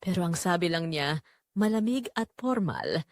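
Female Filipino narrator for first-person audio drama, confessional, lively intonation, mid-pitched, very dry recording. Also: normal speed, dry recording. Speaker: narrator telling the story as its main character.

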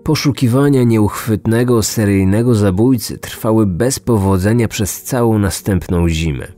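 Low-pitched male true-crime narrator. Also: mellow. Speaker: narrator and podcast host. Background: music.

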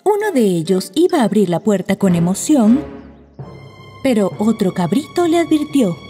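A woman's voice: medium pitch, very animated, normal speed, mellow, long reverb tail, faint music behind. A woman narrating a children's bedtime fairy tale from a script.

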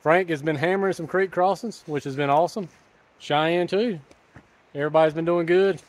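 A man's voice: fairly high pitch, moderate pace. A man in his thirties with a Southern US accent, chatting casually in a folksy way for a vlog out in the woods. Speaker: motorcycle vlogger. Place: outdoors in woods.

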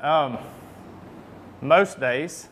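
A man's voice: fairly high pitch, extremely slow, fairly bright tone, slight background noise. A man in his thirties speaking candidly and hesitantly in a casual, easygoing interview. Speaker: dairy farmer. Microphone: lapel.

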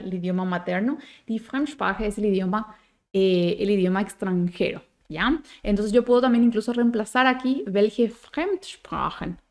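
A woman, giving a language lesson with deliberate enunciation and frequent little check-in tags. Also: mid-range voice, creaky. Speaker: German teacher. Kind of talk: bilingual language lesson, explaining and modelling phrases. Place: small indoor room at home.

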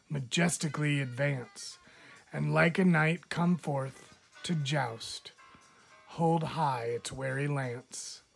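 A man, a teacher, reading children's animal poems aloud in a measured, expressive storytelling manner. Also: a little high, vocal fry, husky.

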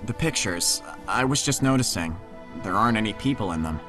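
Guy playing laid-back joking teen boy in an audio drama, lively up and down voice, faint music. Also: fairly low pitch, brisk. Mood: curious, encouraging. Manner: casual, tentative. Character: easygoing, concerned, lightly joking teenage boy on a date. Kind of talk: naturalistic audio drama acting.

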